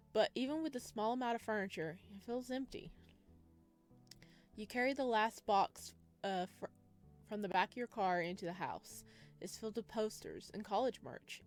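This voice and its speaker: A woman hosting a gaming video, reading visual novel narration aloud and adding casual asides. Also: medium pitch. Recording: music playing behind the voice.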